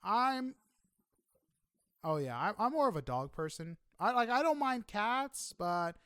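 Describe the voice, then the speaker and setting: Male podcast interviewer, slightly high voice, informal and chatty with hesitant phrasing, dry close recording. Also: very animated, unhurried, sharp tone, smooth, no room sound. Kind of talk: casual conversational interview.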